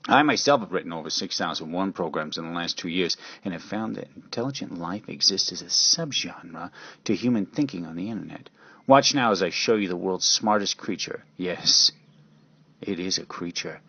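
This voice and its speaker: A man playing a boastful inventor in an amateur radio drama, commanding and declamatory as he pitches his cybernetic creature. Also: fairly bright tone, slightly rough, no room sound, highs cut off, full character voice.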